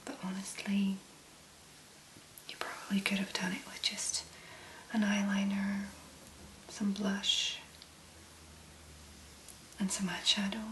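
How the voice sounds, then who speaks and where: A woman speaks in a breathy, hushed near-whisper, as an ASMR host would. Her delivery is slow and very level, laid out in short murmured phrases. The sound is roomy, with a noticeable echo around her voice. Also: medium pitch, monotone, slight background noise. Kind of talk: soft-spoken ASMR make-up tutorial.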